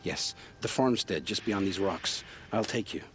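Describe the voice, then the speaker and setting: A man's voice: deep voice, unhurried, music in the background. A man acting an earnest, honour-bound, guilt-ridden family man in a video game, speaking in formal old-fashioned phrases. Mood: grateful, earnest.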